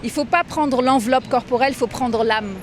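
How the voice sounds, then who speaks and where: A woman's voice: sits high, a little fast, crowd noise. A woman with a French accent explaining things conversationally in a casual interview, repeating phrases for emphasis. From born in Tunisia, grew up in France from age five. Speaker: interviewee, director of a diaspora federation. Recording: outdoor street recording with background noise.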